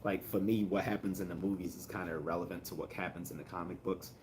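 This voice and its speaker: A man hosting a casual livestream, answering viewer comments in a calm, rambling way with many fillers and restarts. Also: sits low, fast talker, slight background noise. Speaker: livestream host.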